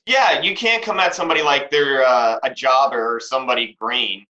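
A man's voice: medium pitch, moderate pace, sharp tone, muffled recording. A man in his thirties chatting casually on a podcast, laid-back and swearing now and then. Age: 30s. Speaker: podcast guest. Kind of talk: casual chat.

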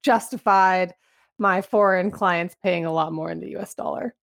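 A woman's voice: fairly low pitch, moderate pace, vocal fry. A woman who runs a media relations business, whose first language was Vietnamese, answering interview questions in an easygoing way.

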